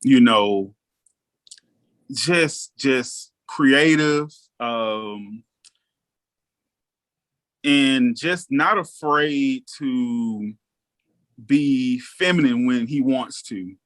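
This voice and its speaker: A man in his thirties chatting casually on a podcast, thoughtful and relaxed, often pausing with ums. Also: medium pitch. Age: 30s. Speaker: guest co-host.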